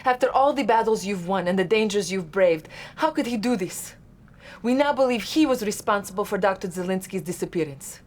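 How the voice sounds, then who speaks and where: A woman's voice: medium pitch, expressive, brisk, lightly acted. A woman acting a devoted Soviet intelligence officer, giving an earnest, solemn briefing in a video game. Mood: indignant.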